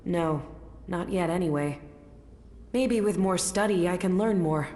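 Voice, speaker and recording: An actress playing an earnest, thoughtful mage uneasy about her powers in a video game, speaking in a measured way. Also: lowish voice, a little mellow, close to an everyday voice. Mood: pensive. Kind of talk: video game cutscene acting. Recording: echoey reverberant space, music behind.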